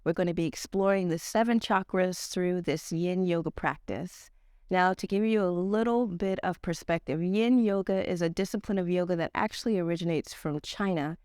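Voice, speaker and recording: A woman with a stuffed-up, slightly nasal voice, leading a slow guided yoga class in a casual, slangy way. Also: fairly low pitch, smooth, no room sound, clean studio sound. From Nigerian-American. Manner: casual slangy teacher, slow calm cues. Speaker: yoga teacher.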